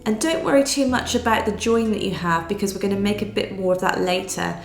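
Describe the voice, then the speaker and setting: A woman explains a needle felting project in an easy, patient way, stopping often to rephrase. A cold leaves her voice nasal and a little croaky. Her speaking pitch is unremarkable, the room gives it an echo, and gentle music plays quietly behind her. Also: expressive, brisk. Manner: patient step-by-step explaining with fillers. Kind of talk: craft tutorial voice-over. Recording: roomy sound with soft music behind.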